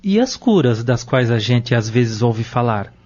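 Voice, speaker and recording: A mellow, husky Brazilian male voice from an actor plays an eager questioner in an audio drama. His mid-pitched delivery is animated and inquisitive, on a slightly dull recording. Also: measured pace. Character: inquisitive male listener seeking explanations. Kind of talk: audio-novel dialogue.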